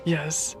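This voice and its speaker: A man giving casual, playful live-stream reactions to a game, with informal, exclamatory slang. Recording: game music behind voice. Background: game music and dialogue.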